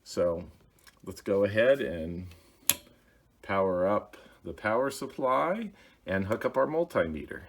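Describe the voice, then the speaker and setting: A man giving a casual hands-on demonstration as host of a hobby video, talking in a halting, plainspoken, methodical way. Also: fairly low pitch, expressive, a little hiss or hum.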